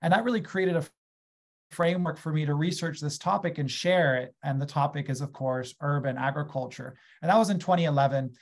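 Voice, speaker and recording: An enthusiastic man in his thirties with an American accent talks through a webcam from a small room at home. His voice sits a little high for a man, and he keeps an eager, gesturing energy as he explains his work to a webinar audience. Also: a little fast. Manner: enthusiastic, gestures a lot while speaking. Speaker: guest speaker, agriculture company founder. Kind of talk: conversational webinar talk. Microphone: webcam on a video call.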